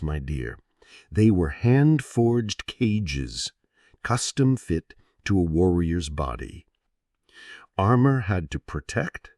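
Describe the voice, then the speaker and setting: A man narrates a history tale meant for falling asleep, taking his time over each phrase. His delivery is gentle and theatrical, with widely varying intonation and deliberate pauses. The recording is close and dry, free of room sound. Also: lowish voice, no echo. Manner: gentle, soothing, storyteller's dramatic pauses and rhetorical questions. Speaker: narrator of sleep history stories. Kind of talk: scripted bedtime history narration.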